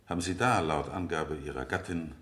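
An actor playing an insistent defense lawyer in a radio play, questioning witnesses in a deliberate, rhetorical way. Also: male voice, extremely low pitch, a bit bright. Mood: probing, measured. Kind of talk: 1970 German radio play acting.

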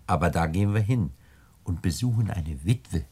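A man's voice: sits low, lively intonation, moderate pace, a little mellow, husky. An actor playing a courteous, avuncular crime boss in a German radio play, condescending and politely sinister, often repeating his words. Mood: genial, scheming.

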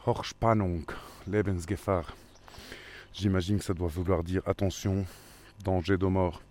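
Man, very low pitch, spontaneous observational delivery outdoors. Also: steady tone, moderate pace, a little mellow, a little hiss or hum. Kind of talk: spontaneous observation while walking. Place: outdoors in a forest.

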